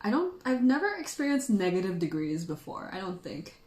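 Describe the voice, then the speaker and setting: A woman's voice: medium pitch, very animated, brisk, a little mellow. The woman you'd find hosting a cozy tasting livestream from a roomy, echoey space, a native Tagalog speaker ribbing her regulars playfully. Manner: playful, teasing, jokey. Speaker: host of a tea tasting livestream. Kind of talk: casual livestream chat with viewers.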